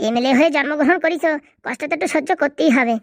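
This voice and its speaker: A woman's voice: sits high, fast, gravelly, dry recording, studio quality. A woman voicing a scheming male henchman in a comedy cartoon, cheeky and conniving. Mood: scheming, reassuring. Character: scheming, reassuring male henchman of a village politician.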